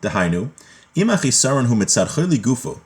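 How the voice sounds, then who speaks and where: A man's voice: medium pitch, very animated, fast talker. A man giving an informal religious law lesson, reading from a text and explaining it in plain, conversational terms. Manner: explanatory, moving between reading a text aloud and plain paraphrase.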